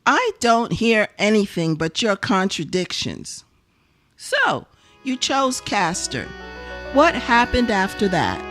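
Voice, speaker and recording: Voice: medium pitch, big pitch swings, slow, a bit bright, soft background music. Performer voicing a probing, skeptical questioner. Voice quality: questioning, philosophical. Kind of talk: audio drama acting.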